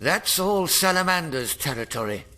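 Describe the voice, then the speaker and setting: An actor playing an earnest rebel leader in an audio drama, with a declamatory manner. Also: male voice, fairly high pitch, sing-song, normal speed, very noisy. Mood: grave, hopeful. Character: earnest male rebel leader rallying oppressed workers.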